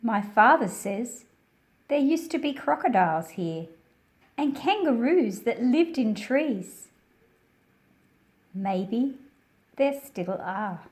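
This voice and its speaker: A woman hosting a children's read-aloud, speaking in simple, deliberate phrases as if straight to young listeners. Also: a little high, lively intonation. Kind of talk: slow picture-book read-aloud with friendly asides to young viewers.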